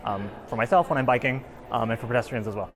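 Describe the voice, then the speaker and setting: A man's voice: medium pitch, quick pace, a bit bright. A man giving spontaneous interview remarks as a local resident.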